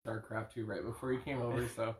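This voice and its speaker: A man's voice: mid-range voice, fairly even intonation, fast talker, slight background noise. A man in his thirties co-hosting a comic book show, talking casually and upbeat from a living room couch on a camera mic. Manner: upbeat, hyped show opener. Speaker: podcast co-host. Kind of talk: casual chat. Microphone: far camera. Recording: roomy living-room echo.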